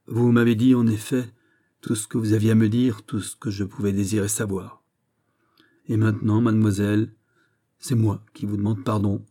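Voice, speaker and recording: A man playing a betrayed young gentleman lover, noble, bitter and heartbroken, with formal tragic delivery in a storybook reading. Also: fairly low pitch, little pitch movement, normal speed. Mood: solemn restraint. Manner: solemn, formal, grandly tragic.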